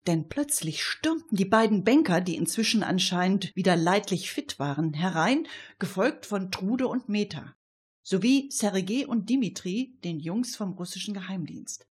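Female presenter, mid-pitched, slightly husky, scripted narration for a radio play. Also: animated, normal speed.

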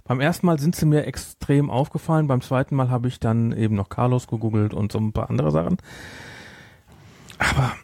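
A man hosts a casual podcast discussion. His voice is soft-edged and mellow, a touch low, and recorded dry and close. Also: fairly quick, creaky, dry recording. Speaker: podcast host.

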